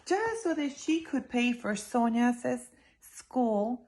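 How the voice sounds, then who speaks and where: A woman's voice: fairly high pitch, slow. Woman reading expressively to young children. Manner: expressive, emphatic, addresses young listeners directly. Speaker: judge reading a children's story. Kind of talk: storytime read-aloud to young children.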